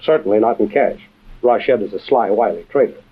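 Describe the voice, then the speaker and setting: An actor playing a composed, authoritative intelligence chief and adventure storyteller, measured and dry, in a vintage radio drama with tinny sound. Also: male voice, lowish voice, lively intonation, husky. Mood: grave, explanatory. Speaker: host and narrator-character. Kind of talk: old-time radio adventure drama acting.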